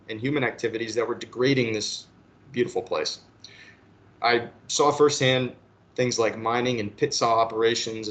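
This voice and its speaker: A man's voice: medium pitch, a bit bright. A man in his thirties giving an earnest, prepared talk on a webinar panel, often restarting his phrases as he speaks. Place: webinar call.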